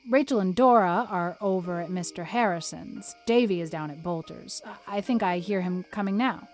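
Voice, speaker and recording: A woman's voice: lowish voice, soft background music, lightly acted. A stern reserved woman of sixty, voiced by a reader. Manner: brisk, dry, severe.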